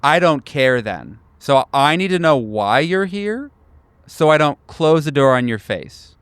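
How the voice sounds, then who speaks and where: A man's voice: fairly high pitch, expressive, unhurried, fairly bright tone, smooth, no echo, voice pushed up. A man voicing an irritated, sarcastic woman in her late forties, curt and combative, in a tabletop game. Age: middle-aged.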